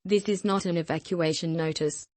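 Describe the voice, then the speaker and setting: A woman reading a scripted emergency broadcast announcement in a formal, impersonal and measured way. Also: lowish voice, steady tone, a little mellow, dry recording.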